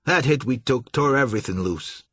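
A man's voice: medium pitch, animated, normal speed, fairly bright tone, slightly rough, dry recording. A man voicing a loyal, worried old male boat captain who pleads in folksy speech.

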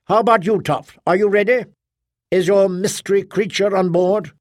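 A man voicing an impatient, irritable female official who talks in quick, incredulous bursts. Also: high-pitched, no echo, studio quality, voice pushed up, lightly acted. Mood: exasperated.

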